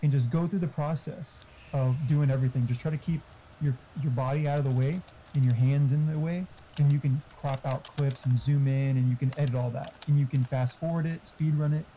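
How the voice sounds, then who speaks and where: A man's voice: mid-range voice, fairly even intonation, fairly quick, dark tone, slight background noise, rough recording. A man chatting casually and giving tech advice in a blunt, explanatory, sweary way. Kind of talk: casual chat. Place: online voice chat.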